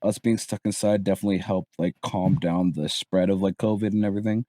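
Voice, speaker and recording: A man's voice: low voice, steady tone, a little fast, dark tone, no echo, clean studio sound. A man in his early twenties from Barbados, a guest chatting casually, rambling with restarts and casual swearing. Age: early 20s. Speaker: guest content creator. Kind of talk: casual podcast chat.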